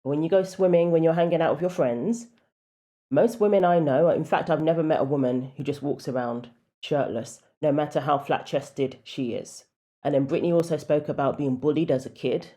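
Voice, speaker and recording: A woman with a deep voice giving casual, opinionated commentary with wry asides. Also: moderate pace, mellow.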